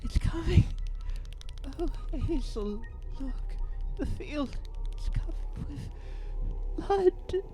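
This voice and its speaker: A performer acts out an anxious young male rabbit in a cartoon dub. The delivery is fretful and dramatic, with wide swings in pitch, over prominent music. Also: big pitch swings, very slow, exaggerated voice. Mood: panicked, foreboding. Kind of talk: animated comic dub of a novel. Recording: music behind the voice.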